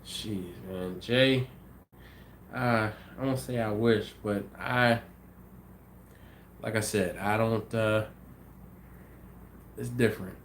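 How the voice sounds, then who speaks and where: Man, livestream host, congested, sniffly, relaxed rambling chat, faint hiss. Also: lowish voice, slow, long pauses. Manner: easygoing, thinks aloud with many ums and restarts. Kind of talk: casual live chat reading viewer comments.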